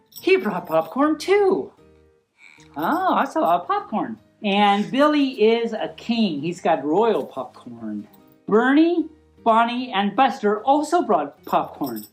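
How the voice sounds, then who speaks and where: A woman's voice: on the high side, very animated, measured pace, soft background music. A woman reading a picture book aloud informally, playful and rambling, with easily sidetracked asides.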